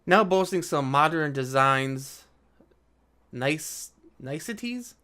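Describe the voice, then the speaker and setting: Higher-pitched man doing sarcastic commentary. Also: animated, takes it slowly, pushed and bright. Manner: sarcastic, argumentative asides. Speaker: video host and commentator. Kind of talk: casual reaction commentary while reading an article aloud.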